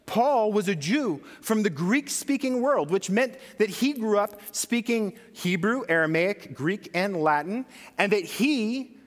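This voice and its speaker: A man's voice: high-pitched, lively intonation, unhurried, few pauses, big-room sound. A man preaching a sermon as pastor, talking conversationally and putting questions to his congregation.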